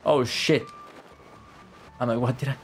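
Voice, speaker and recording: A man's voice: medium pitch, steady tone, a little slow, a little hiss or hum. A man streaming a game with casual, rambling live commentary full of slang and swearing. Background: faint game music.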